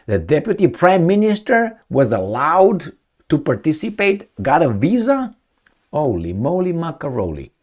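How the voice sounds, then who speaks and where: A man's voice: fairly high pitch, huge pitch swings, measured pace. A middle-aged man reading a news article aloud as a commentator, breaking off with sarcastic asides and stumbling over words. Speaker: political commentator.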